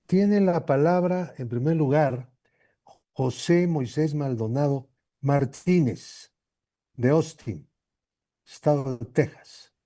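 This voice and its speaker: Slow, very mellow man chairing a hearing. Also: fairly high pitch, no echo. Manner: deliberate, halting, measured. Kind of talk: formal public address.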